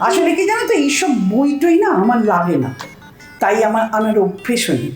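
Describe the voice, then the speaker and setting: Woman playing a bossy, animated older housewife. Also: fairly high pitch, a little fast, reverberant, faint music behind. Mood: boastful. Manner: scolding, wheedling, self-important chatter. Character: bossy, boastful, illiterate older housewife at a bank. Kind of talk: comic audio drama acting.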